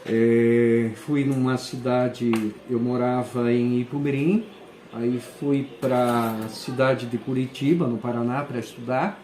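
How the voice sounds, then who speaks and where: A man, a school principal answering interview questions and often pausing to search for words. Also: medium pitch, steady tone, warm tone, a little hiss or hum. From southern Brazil. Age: 40s. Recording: echoey room.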